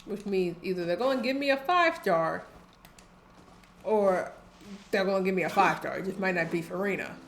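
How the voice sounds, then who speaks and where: A woman's voice: medium pitch, expressive, normal speed, a little hiss or hum. A young woman giving casual, rambling live commentary on a game, wry and self-deprecating. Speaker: game streamer. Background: game music.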